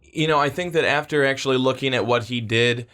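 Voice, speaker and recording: A man co-hosting a sports podcast, listing draft picks in a measured way with frequent fillers. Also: mid-range voice, bright, forceful tone. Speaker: podcast co-host.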